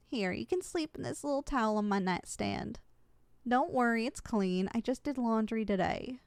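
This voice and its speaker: A young woman playing a kind, caring giantess in an ASMR roleplay, speaking in a gentle, affectionate, conversational way. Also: a little high, dry recording. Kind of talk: ASMR roleplay, intimate character acting.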